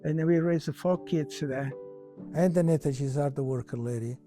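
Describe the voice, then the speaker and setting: An older man from Italy with an Italian accent and broken English, slowly reminiscing in an interview with many restarts. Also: a little high, warm tone, faint music behind.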